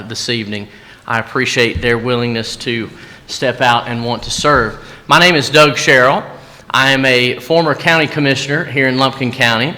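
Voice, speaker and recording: A man's voice: mid-range voice, pushed and bright. Courteous man candidate, reverberant hall. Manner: courteous, thanking everyone. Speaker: mayoral candidate. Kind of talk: opening statement at a public forum.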